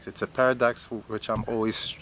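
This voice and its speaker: A man's voice: fairly low pitch, level tone, brisk, fairly bright tone, a little hiss or hum. A man in his fifties who stutters, with repeated words and blocks, talking about himself in an interview. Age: 50s. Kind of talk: personal interview.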